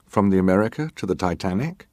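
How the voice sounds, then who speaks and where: A man acting a middle-aged ship's officer in a dramatised reading of testimony, giving guarded, measured answers with clipped formal deference. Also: low-pitched, lively intonation, a little mellow, no echo, clean studio sound. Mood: guarded, composed.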